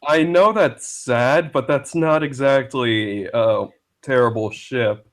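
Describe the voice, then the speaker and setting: A man chatting casually as a guest on a live stream, speaking in a hesitant, halting way. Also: mid-range voice. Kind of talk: casual chat.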